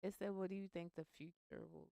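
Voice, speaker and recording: A woman co-hosting a relaxed couple's chat show, outspoken and playful with lively slang. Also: fairly low pitch, fast, vocal fry, no echo. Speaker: co-host, business teacher.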